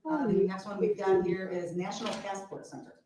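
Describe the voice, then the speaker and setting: Echoey, quick-talking American woman official. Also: mid-range voice, steady tone. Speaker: hearing official calling votes. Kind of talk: procedural meeting talk. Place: public hearing room. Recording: echoey meeting room, distant mic, faint noise.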